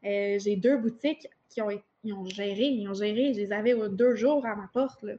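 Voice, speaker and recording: A woman's voice: mid-range voice, normal speed, lo-fi sound, noisy background. A woman with a Quebec French accent, a marketing director chatting as a podcast guest. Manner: chatty, frequent fillers and restarts. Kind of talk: casual interview chat.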